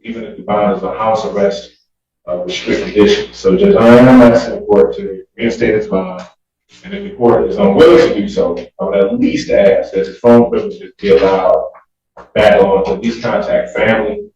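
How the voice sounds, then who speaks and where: A man's voice: fairly low pitch, normal speed, dark tone. Echoey far-off guy lawyer in court, muffled, hesitant and deferential. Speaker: defense lawyer. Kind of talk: spontaneous plea to a judge in court. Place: indoor courtroom.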